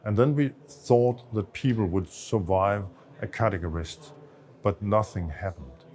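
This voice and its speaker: Low-voiced Danish man explaining. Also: a little slow, darkish tone. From Denmark. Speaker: associate professor of medicine, expert interviewee. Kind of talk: explanatory interview answers on a medical project.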